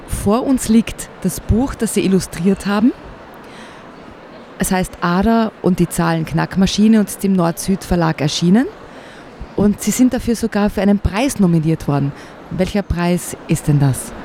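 A woman interviewing a guest for the radio, asking questions in a slow, friendly manner. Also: medium pitch, mellow. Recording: faint street noise behind.

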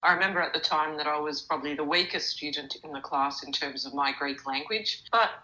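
A woman giving measured, explanatory answers as an interviewee. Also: low voice, bright, forceful tone, low-quality recording.

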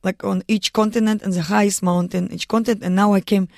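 A woman from Russia with a Russian accent, speaking earnest, halting English as a guest in a radio studio interview. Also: mid-range voice, flat delivery, creaky, a little mellow, dry recording. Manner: earnest, halting non-native English with restarts. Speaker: guest, mountaineer and lawyer.

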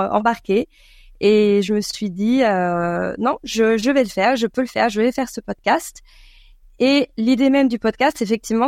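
French woman in a radio interview, bit of vocal fry, dry studio sound, hesitates while thinking. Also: mid-range voice, no echo. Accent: French from France. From France. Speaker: podcast creator being interviewed. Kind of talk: conversational radio interview answers.

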